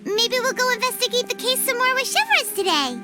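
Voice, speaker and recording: A woman voicing a tiny, chatty, excitable fairy companion in a video game, squeaky and childlike, referring to herself in the third person. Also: fairly quick. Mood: excited, chatty. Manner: talks about self in third person. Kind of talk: video game character acting. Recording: music behind the voice.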